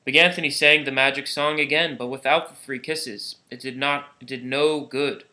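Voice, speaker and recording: A man's voice: mid-range voice, steady tone, normal speed, pushed and bright. A man reading a children's storybook aloud as a narrator. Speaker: volunteer storybook reader.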